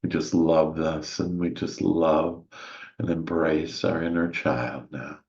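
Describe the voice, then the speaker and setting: A man leading a guided meditation in a gentle, soothing, hushed manner with long pauses and soft sighs, on a slightly muffled recording. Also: rumbling low, level tone. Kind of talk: soothing guided meditation, slow spiritual visualization.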